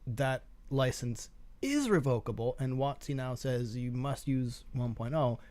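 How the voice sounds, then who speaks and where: A man's voice: mid-range voice, lively intonation, unhurried, darkish tone. A man chatting casually as a show panelist, explaining his points in a questioning, skeptical way. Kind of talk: casual discussion.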